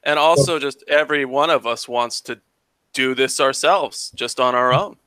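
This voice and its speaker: A man in a casual group chat, agreeable and quick to chime in, laughing easily. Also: mid-range voice, bright, forceful tone, clear, resonant tone, no room sound.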